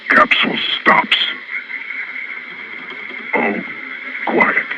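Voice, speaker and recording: A man playing a clinical Martian invader in a radio drama, speaking in clipped, halting fragments. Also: medium pitch, lo-fi sound, noisy background. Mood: strained, wary. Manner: clipped telegraphic sentences without articles, halting. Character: Martian invader pilot in a landing capsule, clinical, determined.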